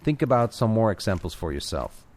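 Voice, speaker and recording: A man's voice: fairly low pitch, sing-song, a little fast, a little mellow. A man coaching the listener in an informal, conversational audio-walk narration, addressing them directly. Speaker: coach guiding a walking audio lesson. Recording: outdoor ambience noise with clicks. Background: added outdoor walking ambience, birds.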